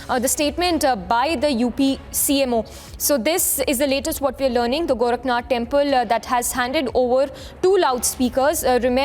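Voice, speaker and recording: A woman news anchor with an Indian accent delivering live breaking news in a halting, repetitive, ad-libbed way. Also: sits high.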